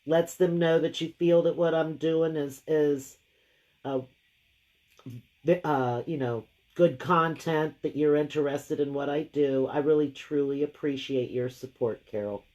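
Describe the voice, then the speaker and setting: A woman hosting a live craft painting session. She has a deep, gravelly voice left over from a cold, and she chats and thinks aloud while she works. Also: steady tone, measured pace, dark tone, highs cut off. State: lingering gravelly voice after a cold. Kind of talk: casual live painting demo.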